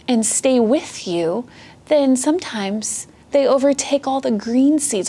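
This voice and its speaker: A woman hosting a children's storytime, speaking gently and encouragingly as she reads and explains things simply. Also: on the high side, gravelly. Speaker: children's storytime host and reader. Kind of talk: picture-book reading and simple explanation to a child.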